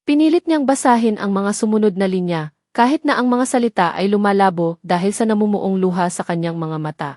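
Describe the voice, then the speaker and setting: Female narrator for scripted romantic drama, medium pitch, dry recording. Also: brisk, a little mellow, dry recording.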